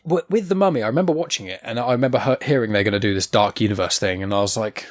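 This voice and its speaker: A man chatting on a podcast, rambling and animated, with quick asides and casual swearing. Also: lowish voice. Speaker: podcast co-host. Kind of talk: casual chat.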